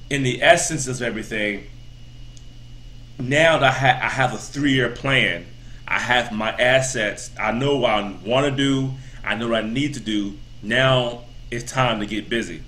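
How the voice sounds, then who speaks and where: A man in his thirties talks casually to his viewers, rambling and circling back on his points with plenty of fillers. His voice has a bright, forward edge, with a faint hum of room ambience underneath. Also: mid-range voice. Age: 30s. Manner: rambling, repeats himself, many "you know" fillers. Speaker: vlogger. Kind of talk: casual motivational talk to camera.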